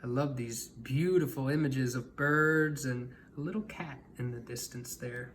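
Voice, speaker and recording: A man reading a children's picture book aloud as a storyteller, in an expressive manner. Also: mid-range voice, measured pace, a little hiss or hum. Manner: animated, expressive.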